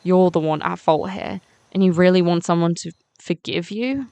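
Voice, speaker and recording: A woman's voice: lowish voice, normal speed, creaky, warm tone, no room sound. A young woman playing a hurt, unforgiving teenage schoolgirl in an amateur voiced game series, speaking in an emphatic conversational way. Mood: hurt, accusing.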